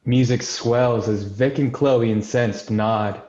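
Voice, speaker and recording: A man's voice: mid-range voice, fairly even intonation, takes it slowly, darkish tone. A man hosting a table read, giving scripted introductions and reading screenplay stage directions. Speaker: host and stage-direction narrator. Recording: roomy, dull lo-fi sound.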